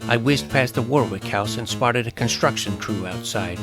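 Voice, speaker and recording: Narrator for a kid-detective audio drama adult man: mid-pitched, plucky, dramatic storytelling, heavy music bed underneath. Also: moderate pace, lightly acted. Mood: relieved, wry. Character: plucky, self-assured 12-year-old boy detective recounting adventures. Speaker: narrator and lead character. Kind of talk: dramatic first-person scripted narration.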